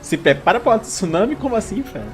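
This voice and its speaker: A Brazilian man hosts a casual game reaction video. His delivery is expressive and exclamatory, swinging very widely in pitch, with faint music behind him. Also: very fast. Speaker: gaming video host.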